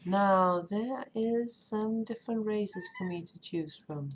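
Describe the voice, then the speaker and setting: A woman with a Danish accent chatting casually on a live stream, often pausing with "um" and "uh" as she searches for words. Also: medium pitch, a little hiss or hum. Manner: hesitant, many ums and uhs while searching for words.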